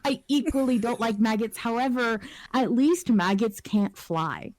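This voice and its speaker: A woman's voice: fairly high pitch, unhurried, a little mellow, no echo, poor audio. A woman podcast panelist talking in a casual, informal discussion.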